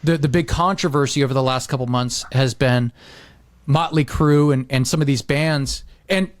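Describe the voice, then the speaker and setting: A middle-aged American man chatting casually as a podcast host, rambling and repeating himself with animated emphasis. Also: mid-range voice, normal speed, no room sound.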